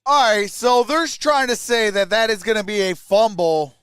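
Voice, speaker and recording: A man's voice: sits high, normal speed, fairly bright tone, clean tone, no echo, studio quality. A man giving informal live fan play-by-play on a sports stream, reacting aloud and chatting with viewers. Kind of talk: live fan commentary and chat.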